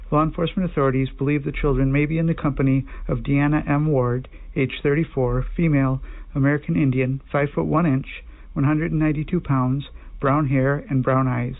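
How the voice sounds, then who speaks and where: A synthetic-sounding man reading a formal scripted alert bulletin in a measured, impersonal way over tinny weather radio. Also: medium pitch. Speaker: automated emergency alert announcer. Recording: tinny band-limited weather radio broadcast.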